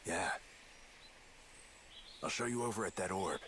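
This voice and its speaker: An actor playing a guarded, plain-spoken spy in a video game, with a gruff, casual, clipped delivery. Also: male voice, sits low, a little slow, fairly bright tone, slight background noise. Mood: laconic, reflective.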